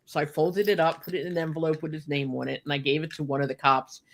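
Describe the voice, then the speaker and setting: A woman's voice: low-pitched, steady tone, fast. An older woman reminiscing in casual, unscripted talk as a podcast host, chatty and emphatic, with frequent asides to her listeners. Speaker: podcast host telling personal memories.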